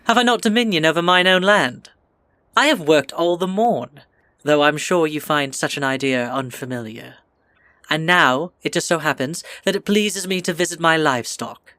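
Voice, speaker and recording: A man's voice: fairly high pitch, normal speed, bright, forceful tone, no room sound. A man playing an entitled sixteen-year-old village bully with haughty, taunting archaic speech in a period audio drama. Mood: contemptuous.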